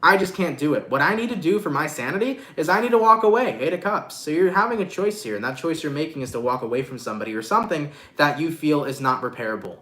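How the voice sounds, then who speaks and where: Nasal, lively man reading tarot. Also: fairly high pitch, quick pace, hardly any pauses, reverberant. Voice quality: nasal, congested. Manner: lively, affectionate, slangy. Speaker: tarot reader.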